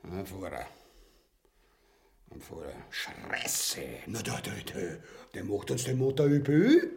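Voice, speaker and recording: Gravelly, scheming Austrian tyrant. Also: male voice, lowish voice, very animated, slow pace, darkish tone, exaggerated voice. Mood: pompous. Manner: coarse dialect, blustering. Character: greedy cowardly scheming usurper, coarse, blustering grotesque male tyrant. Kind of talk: grotesque comedy radio play acting.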